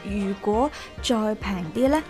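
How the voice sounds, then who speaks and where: A woman's voice: mid-range voice, takes it slowly, darkish tone, soft background music. An actress playing a modest, wry woman renter in a radio drama, polite and understated, with a Hong Kong accent. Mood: hesitant, polite. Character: modest, wry young woman renter, narrating her troubles. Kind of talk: radio drama dialogue and inner monologue.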